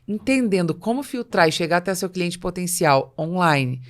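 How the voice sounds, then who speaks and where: A Brazilian woman hosts a business conversation in a voice pitched a little low. She explains by illustration, often posing rhetorical questions as she goes. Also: lively intonation, normal speed. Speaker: podcast host.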